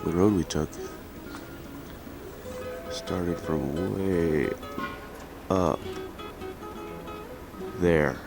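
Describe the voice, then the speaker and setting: A low-voiced man talks very slowly, as if logging a travel diary. His delivery is halting and wry, over clear guitar music. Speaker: motorcycle trip member. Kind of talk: travel diary narration to camera.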